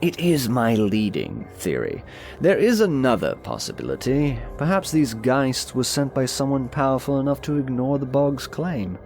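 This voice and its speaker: A narrator voicing an urbane, aloof, sardonic nobleman with dry, erudite, measured phrasing in an audiobook. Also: mid-range voice, sing-song, darkish tone, faint music behind. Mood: musing, sardonic. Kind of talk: audiobook character voice.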